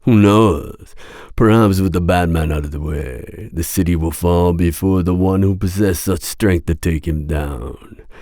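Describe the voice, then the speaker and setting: A man playing a masked villain mercenary in an ASMR roleplay, speaking in a formal, deliberate, seductive and menacing way. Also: low-pitched, darkish tone, dry recording, clean studio sound. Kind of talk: ASMR roleplay audio drama.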